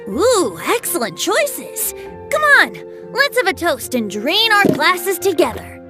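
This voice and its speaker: Carefree young male bard for a game: high, very lively, theatrical lilt, music underneath. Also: slow pace, exaggerated voice. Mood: cheerful, welcoming. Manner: theatrical, lilting, breezy. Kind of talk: video game voice acting.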